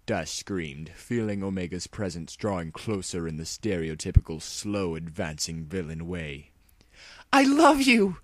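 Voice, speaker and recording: Low-voiced man giving a theatrical reading. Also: fairly even intonation, normal speed, few pauses, a little mellow, no room sound. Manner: mock-serious, theatrical. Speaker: narrator. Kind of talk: dramatic audiobook-style reading of fan fiction.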